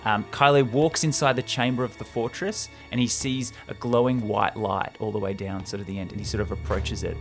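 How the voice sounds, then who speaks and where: A man's voice: fairly low pitch, fairly even intonation, fairly quick, hardly any pauses, faint music behind. A man co-hosting a podcast, telling a story in an informal, matey, loosely phrased way. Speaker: podcast co-host. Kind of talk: casual storytelling chat.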